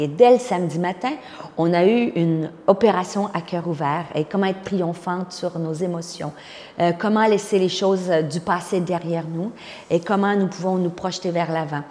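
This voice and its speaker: A woman's voice: on the low side, dark tone, long reverb tail. Québécois woman in her fifties giving testimony. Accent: Québécois French. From Quebec, Canada. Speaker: pastor and speaker. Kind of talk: personal testimony.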